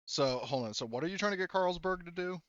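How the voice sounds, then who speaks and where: A man's voice: high voice, expressive, quick pace, a bit bright, no echo, lo-fi sound. A man speaking casually as game master, explaining rules and options in a tabletop roleplaying session.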